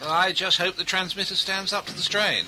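An actor playing a fussy, grumbling 1920s wireless engineer in a radio play. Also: male voice, high-pitched, fairly even intonation, normal speed, background noise, lightly acted. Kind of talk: period radio play acting.

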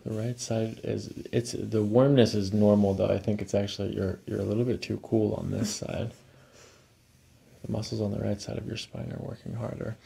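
An American man in his thirties working as a chiropractor, calmly explaining a hands-on exam and asking short check-in questions. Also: fairly low pitch, normal speed, gravelly. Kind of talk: hands-on clinical exam narration, ASMR. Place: small carpeted clinic office, indoors.

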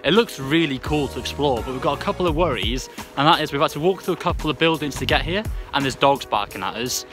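Young guy filming himself on his phone outside, chatty and upbeat, bit high voice, music under it. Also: lively intonation, quick pace, few pauses. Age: young adult. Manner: chatty and upbeat, laughs often. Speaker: vlogger host. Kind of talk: casual vlog narration. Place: outdoors in hills and ruins. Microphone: handheld phone at arm's length.

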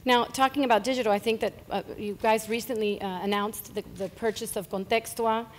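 A woman's voice: a little high, fairly even intonation, brisk, slight background noise. A woman moderating an onstage interview, hesitant and repeating words as she frames her questions. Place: conference stage before a seated audience. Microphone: handheld mic. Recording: reverberant conference hall.

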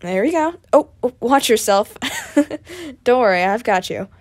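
A woman's voice: on the high side, animated, measured pace, darkish tone, dry recording. A woman voicing a goofy, reckless young male adventurer in an audio roleplay, bumbling and playful as the character talks to a companion. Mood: cheerful, bumbling. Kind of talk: audio roleplay addressed to the listener.

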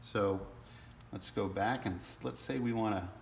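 A man demonstrates a product in a casual tutorial style. His voice sits fairly low with a clearly creaky edge. He goes step by step in an informal, halting way, recorded in a roomy-sounding space. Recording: roomy sound with faint background noise.

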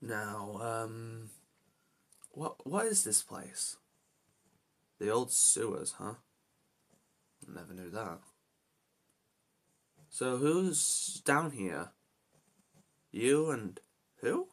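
A man's voice: fairly low pitch, expressive, extremely slow, long pauses, heavily acted. A man voicing an anxious, protective young adventurer in amateur character lines, talking to himself with dramatic exclamations. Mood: uneasy, determined. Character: anxious, reluctant young adult male adventurer hero, protective.

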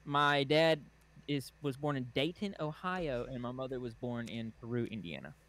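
A man's voice: medium pitch, moderate pace, fairly bright tone. A man who is a guest on a casual panel call, often stammering and restarting his sentences while he jokes around. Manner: stammering, joking. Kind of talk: casual chat on a video call.

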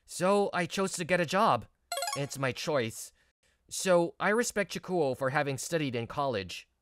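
An actor playing a mild, earnest young male office worker in a manga story dub, speaking in a sincere, measured way. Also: fairly high pitch, expressive, over music. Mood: earnest, reflective. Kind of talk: scripted manga story dub, narration and dialogue.

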